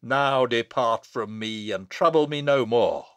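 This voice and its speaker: A man's voice: mid-range voice, unhurried, fairly bright tone, smooth, no room sound, pitched below the performer's own voice, lightly acted. A man voicing a stern, wrathful abbot in solemn, declamatory archaic speech for a storybook reading. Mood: prophetic, ominous. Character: stern, wrathful abbot pronouncing a prophetic curse.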